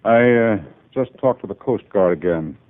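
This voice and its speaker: Male actor as troubled businessman, late fifties, old-time radio: deep, polite, hesitant, heavily muffled recording. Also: normal speed, lightly acted. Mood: troubled, courteous. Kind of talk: 1950s radio drama acting.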